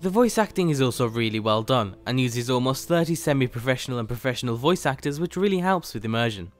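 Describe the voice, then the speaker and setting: A man's voice: mid-range voice, lively intonation, fast talker. Man narrating a scripted review over faint music. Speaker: host of a game mod review channel.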